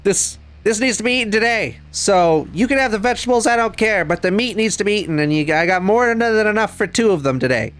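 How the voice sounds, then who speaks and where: A man playing a brash, hot-tempered robot adventurer in a tabletop game, loud and blustering. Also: sits high, lively intonation, quick pace, hardly any pauses, voice pushed up. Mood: bargaining. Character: brash, indignant, hot-tempered male robot with dwarven blood.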